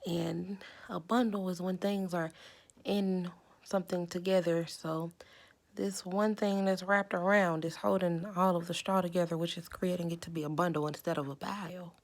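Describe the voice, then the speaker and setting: A woman's voice: on the low side, fairly even intonation, moderate pace. A woman reading a children's story aloud, adding simple instructive explanations as she goes. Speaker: storybook reader.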